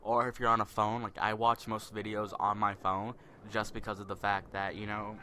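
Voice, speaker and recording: Deep-voiced young man rambling over gameplay. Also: level tone, moderate pace, pushed and bright, slight background noise. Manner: rambling, spontaneous, self-conscious. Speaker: gameplay commentator. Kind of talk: casual gameplay commentary.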